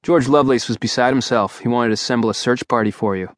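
A man voicing a gruff, unbothered instructor who speaks in curt, low, flat lines. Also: fast talker, no room sound, clean studio sound, natural voice.

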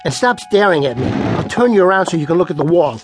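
Weary, nervous club ventriloquist, American, high male voice, swooping intonation, placating banter, radio drama. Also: very animated, fast, gravelly, background noise. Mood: irritated. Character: uneasy male nightclub ventriloquist, weary straight man to his dummy.

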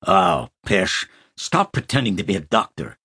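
Man acting out a husky, imperious old tycoon, blustering. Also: deep voice, very animated, unhurried, bright, forceful tone, dry recording, studio quality, voice pushed up, heavily acted. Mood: exasperated. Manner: blustering, indignant. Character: imperious, irascible, distressed old male tycoon. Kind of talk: audiobook character acting.

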